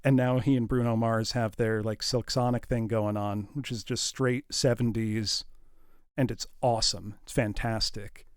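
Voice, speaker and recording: A man's voice: medium pitch, fairly even intonation, moderate pace, smooth, no echo. Man chatting casually on a livestream, dry sound. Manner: informal, enthusiastic, musing aloud. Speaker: livestream host.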